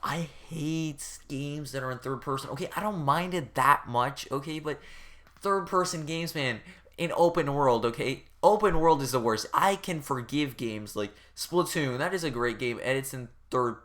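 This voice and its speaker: A man's voice: fairly high pitch, normal speed, a bit bright. A man giving a casual comedic rant as a game reviewer, rambling and exasperated, with informal, profane speech.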